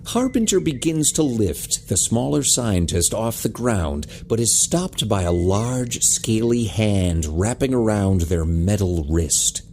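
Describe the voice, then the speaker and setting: A man delivers scripted dramatic narration in a low, husky voice. He goes slowly, with lively rises and falls in pitch. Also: a little hiss or hum.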